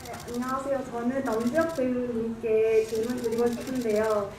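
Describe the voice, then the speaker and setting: A woman's voice: fairly high pitch, little pitch movement, normal speed. A woman in the audience, politely asking a question at a film screening, hesitant and full of fillers. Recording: echoey cinema hall, distant, noisy.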